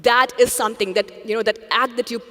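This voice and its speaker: A woman's voice: fairly high pitch, steady tone, brisk, fairly bright tone. A woman from India, answering interview questions on stage in an earnest, reflective way. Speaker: journalist, interview guest. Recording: long hall reverb.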